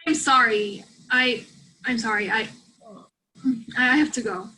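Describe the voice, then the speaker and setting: Woman acting guarded young student, higher voice. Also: a little slow, pushed and bright, slight background noise, poor audio. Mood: apologetic. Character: guarded, self-doubting female international college student, soccer striker. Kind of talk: virtual staged play reading. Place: remote virtual reading over video call.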